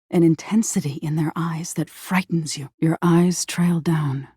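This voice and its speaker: An ominous living nightmare from a video game, female and intimate. The voice is low and dark, with a husky, breathy grain that sinks toward a whisper. The delivery is slow, deliberate and tender, like dreadful bedtime narration, recorded very dry and close. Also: dry recording, exaggerated voice. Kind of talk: scripted dramatic game narration.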